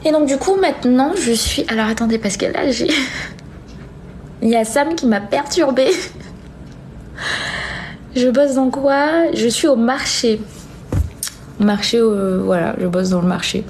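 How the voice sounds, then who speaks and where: A young woman with a soft-edged, mellow voice that sits a little high. She chats informally as a live-stream host, reading comments and answering them with hesitant, trailing phrases. There is faint noise behind her. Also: unhurried, pauses often. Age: young adult. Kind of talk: casual chat, reading and answering viewer comments.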